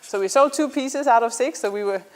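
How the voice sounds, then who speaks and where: A young woman with a Danish accent giving a casual startup pitch to a class, with frequent ums and restarts. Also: fairly quick. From Denmark. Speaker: startup founder presenting. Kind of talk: student pitch presentation.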